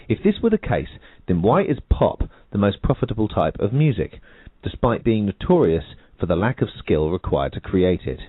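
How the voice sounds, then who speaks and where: A man reading a nonfiction book aloud on a radio show, in a measured, emphatic way that lifts on key points. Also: deep voice, no echo. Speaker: audiobook reader. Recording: tinny phone-like radio broadcast.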